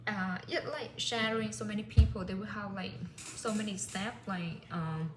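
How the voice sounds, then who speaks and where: A woman's voice: mid-range voice, fairly even intonation, normal speed. Chatty woman in an echoey room. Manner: rambling, many fillers and "like". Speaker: language learner vlogger. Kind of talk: casual vlog chat. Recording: echoey room with clear background noise.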